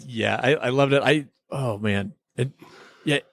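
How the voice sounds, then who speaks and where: A man's voice: mid-range voice, measured pace, gravelly, dry recording, studio quality. A man co-hosting a casual review chat, talking as an enthusiastic fan. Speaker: podcast co-host reviewing TV episodes.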